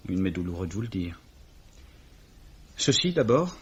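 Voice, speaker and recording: An actor playing an earnest, fussy young English gentleman, plaintive and polite, in 1950s French radio drama style. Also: low voice, very animated, moderate pace, a little hiss or hum. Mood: pained, earnest. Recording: dull, slightly rough old radio recording.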